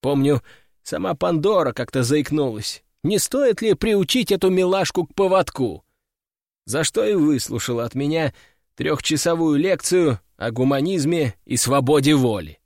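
A man's voice: fairly high pitch, lively intonation, normal speed, a little mellow, no room sound, clean studio sound. A man narrating a fantasy audiobook in the first person, in a wry, ironic tone.

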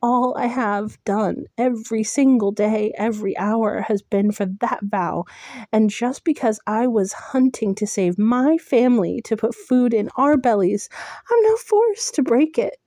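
Defiant young heroine, high, strained and loud, as the narrator puts her on. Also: a little slow, no room sound, clean studio sound, lightly acted. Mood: pleading. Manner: pleading, high-strung and loud.

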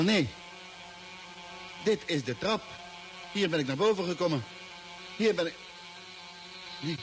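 A lost, confused spaceman in a radio play. His fairly high voice moves slowly and haltingly but swings widely, with music behind. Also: poor audio. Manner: halting, questioning, agitated. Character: bewildered male astronaut lost on a strange planet. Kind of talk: Dutch radio drama acting.

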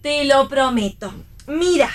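A woman's voice: high-pitched, animated, slow. An Argentine woman playing a testy, dry-witted young pregnant wife in a radio play reading. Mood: dry, teasing. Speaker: actress in a read-theatre radio play.

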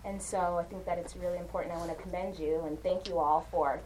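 Casting note: young woman counselor, slightly low voice, encouraging, talking to teens in a noisy room. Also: fairly even intonation, quick pace, a little mellow, recorded from a distance. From Uganda. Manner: encouraging, asks the group questions, frequent "right?". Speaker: counselor and guest speaker. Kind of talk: informal talk to a youth class.

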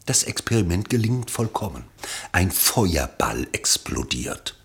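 Deep male narrator for a german radio play, literary n dramatic, very animated delivery. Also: unhurried, vocal fry.

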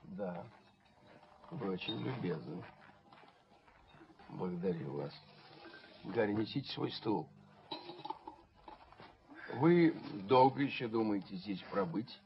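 An actor playing a fussy, snobbish, over-polite gentleman in a radio play, speaking with pompous, flowery courtesy. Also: on the low side, animated, slow pace, long pauses. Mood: obsequious. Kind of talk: theatrical radio drama acting. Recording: dull, noisy 1970s radio recording.

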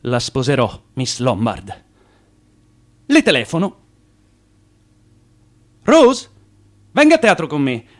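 Smooth-talking male suitor, thirties, ardent, theatrical, highly animated intonation, radio drama. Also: slow pace, pauses often. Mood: eager, courting. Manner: persistent, wooing, theatrical. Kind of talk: Italian radio drama acting.

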